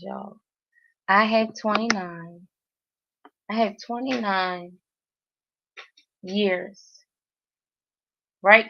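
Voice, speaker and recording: A woman talks her way through practice phrases, hesitant and self-correcting. Her speech is very slow and halting. The stream audio sounds dull and lo-fi. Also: medium pitch, vocal fry, fairly bright tone. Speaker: Spanish learner streaming a daily challenge. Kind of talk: thinking aloud while working through a language app.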